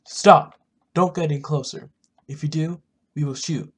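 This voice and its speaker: A man's voice: fairly high pitch, steady tone, normal speed, husky. A man voicing a menacing, commanding kidnapper in a fantasy game, stern and threatening.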